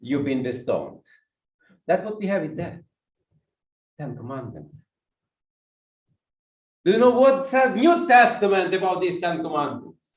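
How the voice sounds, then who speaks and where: A man with a Ukrainian accent preaching and pacing as he talks, firing questions at the people in front of him. His voice sits fairly high and sounds dull and distant. It echoes around a church. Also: sing-song, measured pace, pauses often. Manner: walks while preaching, asks the congregation questions. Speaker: guest pastor. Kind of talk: sermon with audience questions. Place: church hall.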